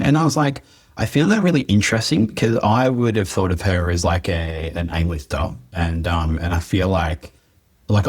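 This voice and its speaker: Male podcast host, deep husky tone, loose conversational chatter. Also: darkish tone. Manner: chatty, meandering, laughs easily. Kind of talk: casual podcast chat.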